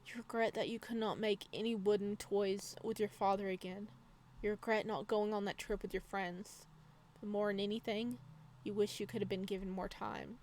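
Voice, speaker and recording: A woman doing a video game let's play, reading game text aloud with expressive drama and adding casual asides. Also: medium pitch, moderate pace, a little hiss or hum. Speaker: gaming video creator.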